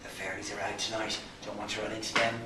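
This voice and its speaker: A man with an Irish accent voicing a kind, humble hunchbacked man who talks high, breathless and excited. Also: quick pace, natural voice. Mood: worried. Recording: roomy, distant mic, background noise.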